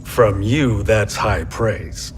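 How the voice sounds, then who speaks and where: Distrustful blunt fighter, kinda sarcastic, game cutscene, music really loud. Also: male voice, fairly low pitch, extremely slow. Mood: threatening, sardonic. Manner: terse, skeptical, sardonic. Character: blunt, impatient, distrustful male warrior. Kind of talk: dramatic video game cutscene acting.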